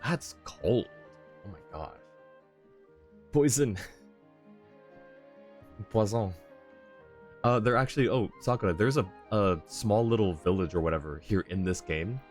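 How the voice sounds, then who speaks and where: A man's voice: fairly low pitch, animated, measured pace, pauses often, faint music behind. A man livestreaming a video game with casual commentary, in a roomy-sounding recording. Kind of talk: casual commentary while playing.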